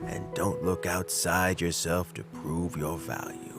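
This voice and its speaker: An actor playing a patient, fatherly old man who gives calm advice slowly. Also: low voice. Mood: calm, wise. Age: older. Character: gentle elderly man, patient mentor. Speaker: game character. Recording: game audio with music behind.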